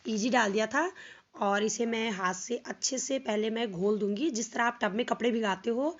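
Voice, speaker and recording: A young woman with a North Indian accent and a hoarse voice from a cold, casually explaining a household chore step by step for her home vlog. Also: on the high side, fairly bright tone, lo-fi sound. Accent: Hindi-speaking North Indian. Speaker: home vlogger. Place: home, by a washing machine outdoors.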